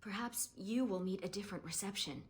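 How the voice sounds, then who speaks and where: A woman's voice: mid-range voice, a little fast, lightly acted. An actress playing a proud, guarded young elven woman in a fantasy game, speaking earnestly and formally. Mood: earnest, solemn. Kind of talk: scripted fantasy game dialogue.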